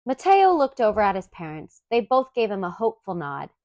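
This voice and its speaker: A woman reads a children's story aloud in an expressive, clearly enunciated storytelling manner. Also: mid-range voice, fairly quick, dry recording, clean studio sound. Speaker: storybook reader.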